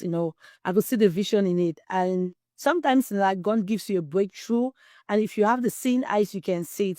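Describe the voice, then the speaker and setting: A woman's voice: mid-range voice, darkish tone, dry recording, clean studio sound. A middle-aged woman from Cameroon, a guest in an interview, telling her personal story in an earnest, testimonial way. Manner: earnest, testimonial, quotes herself often. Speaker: guest, wellness distributor.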